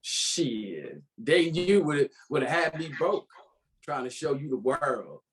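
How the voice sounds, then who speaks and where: A man's voice: fairly high pitch, very animated, takes it slowly. A man playing a laid-back, bantering old lover in a drama script read, with an American accent and casual, slangy speech. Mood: defensive, bantering. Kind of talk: informal screenplay table read.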